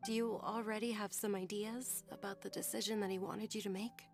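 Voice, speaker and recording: An actress playing a young female traveler in a video game, speaking softly and reassuringly. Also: medium pitch, fairly even intonation, brisk, creaky, soft background music, close to an everyday voice. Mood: gentle. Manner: soft, gentle, reassuring.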